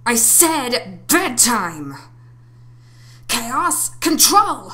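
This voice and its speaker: A woman's voice: medium pitch, sharp tone, heavily acted. A woman voicing a spirited young female cartoon echidna guardian, a perky, emphatic babysitter who is doting yet bossy. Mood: exasperated. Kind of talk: amateur character voice audition. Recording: clearly noisy home recording.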